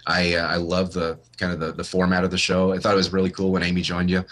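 A man's voice: low voice, completely flat delivery, fast, crowd noise. A man who is a pastor, chatting casually as a podcast guest, laughing often as he talks about scripture. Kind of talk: casual conversation.

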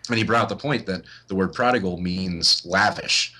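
Guest pastor, male, very low voice with a bright edge, easygoing and talkative. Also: normal speed. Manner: chatty, laughs often, talks about scripture. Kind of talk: casual conversation.

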